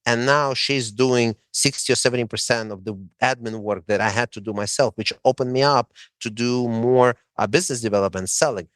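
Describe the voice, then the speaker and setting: A man with a Russian accent talks in an emphatic, coaching way, often pausing on "uh" as he gives advice. His voice sits at an ordinary middle pitch. The sound is close and dry, with no room around it. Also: no echo. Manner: emphatic, coaching tone with frequent "uh" fillers. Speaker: guest, franchise owner. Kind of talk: casual interview chat.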